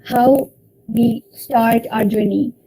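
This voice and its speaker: A young woman hosting an online student session, speaking slowly and formally as she welcomes guests. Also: fairly high pitch, steady tone, mellow, breathy edge, poor audio. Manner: slow, deliberate, formal phrasing. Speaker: event host for a student association.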